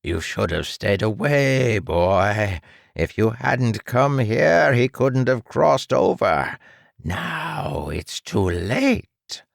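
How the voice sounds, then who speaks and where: A smug, mocking mad-scientist villain from a superhero audiobook, played by a male narrator. He stretches his words with theatrical condescension, swinging widely in pitch over a creaky low register. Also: expressive, no room sound, clean studio sound, full character voice. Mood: taunting. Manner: theatrical, condescending, drawn-out delivery.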